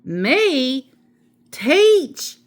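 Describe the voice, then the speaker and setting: A woman's voice: high voice, sing-song, very slow, pitched above the performer's own voice, heavily acted. Woman putting on an exclamatory, cheerful folksy lady teacher. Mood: puzzled. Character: Amelia Bedelia, cheerful literal-minded woman, folksy new teacher.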